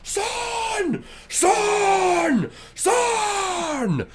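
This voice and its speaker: A man's voice: extremely high pitch, big pitch swings, extremely slow, very noisy, pitched above the performer's own voice, exaggerated voice. A man voicing a battle-mad warrior who bellows roaring war cries in a tabletop game. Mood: battle fury.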